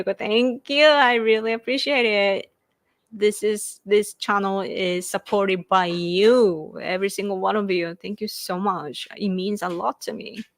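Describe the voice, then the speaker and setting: A woman's voice: medium pitch, dry recording. A woman in her thirties with a Japanese accent, hosting a casual livestream, rambling, laughing often and thanking viewers. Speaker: livestream host. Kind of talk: casual livestream chat reading viewer comments.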